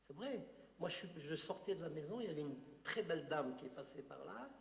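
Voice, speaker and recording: Algerian-born man, fairly high, gravelly voice, rambling emphatic lecture, reverberant hall. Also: animated, brisk. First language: Algerian Arabic. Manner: digressive, emphatic, many filler "hein" and "euh". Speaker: author giving a talk. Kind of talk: unscripted lecture answering a question. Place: hall with audience. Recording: roomy hall with long reverb.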